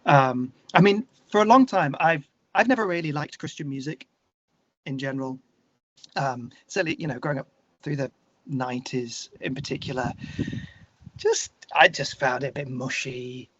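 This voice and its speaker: A middle-aged man speaking earnestly and haltingly as an interview guest, reflecting in a conversational way with emphatic stresses. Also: mid-range voice, fairly bright tone, a little hiss or hum. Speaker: guest, singer-songwriter. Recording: slightly dull, lo-fi conversation audio.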